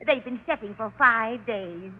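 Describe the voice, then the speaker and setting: A woman's voice: fairly high pitch, unhurried. An actress playing a doting, scatterbrained older woman who fusses and coaxes in a 1940s radio sitcom. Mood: coaxing. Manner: coaxing, fussy, motherly. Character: fluttery, doting older landlady and mother, cheerfully scatterbrained. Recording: tinny, band-limited old radio recording.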